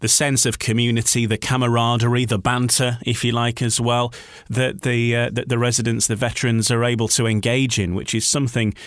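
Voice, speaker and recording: A man with a mid-pitched voice hosts a radio interview in a conversational, courteous way. He builds up to questions in long, unhurried phrases. The sound is dry, with no room around it. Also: flat delivery, no echo.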